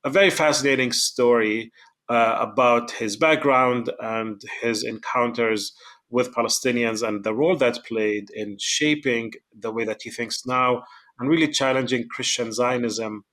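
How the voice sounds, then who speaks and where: A man hosting a podcast interview who talks in a halting way, with many fillers. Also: mid-range voice, fairly even intonation, fairly bright tone. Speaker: podcast host.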